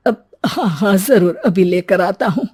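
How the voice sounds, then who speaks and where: A woman's voice: mid-range voice, expressive, measured pace, a little mellow, breathy edge. A woman voicing a remorseful, put-upon old father in a cartoon moral story, pleading and melodramatic. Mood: obliging.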